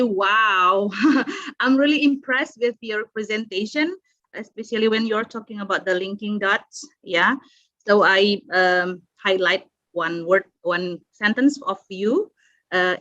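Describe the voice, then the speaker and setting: A woman's voice: medium pitch, a bit bright, no room sound. A woman from Indonesia moderating an online conference, hesitant, with many fillers and restarts. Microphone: video call. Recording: dull video call audio.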